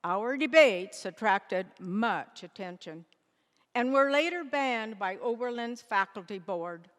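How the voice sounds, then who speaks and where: A woman performing a dignified, resolute nineteenth-century women's rights pioneer in a scripted stage monologue, with measured, deliberate oratory. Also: on the high side, animated, fairly bright tone. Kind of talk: scripted readers theater monologue. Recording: echoey hall with long reverb.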